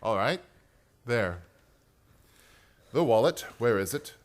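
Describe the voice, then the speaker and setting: An actor playing a smug, menacing crook with mocking charm in a suspense radio play. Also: male voice, mid-range voice, very animated, slow pace. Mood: mocking, breezy. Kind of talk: suspense radio drama acting.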